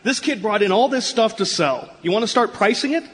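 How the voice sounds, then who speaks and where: Radio play: pushy, self-promoting memorabilia dealer, high-voiced, swooping delivery, live hall sound. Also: male voice, brisk, a little hiss or hum. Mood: brisk, friendly. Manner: animated, pushy salesman's patter. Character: eager, self-promoting old-school sports memorabilia dealer and podcaster. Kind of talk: live radio comedy-drama acting. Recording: echoey hall, live stage performance.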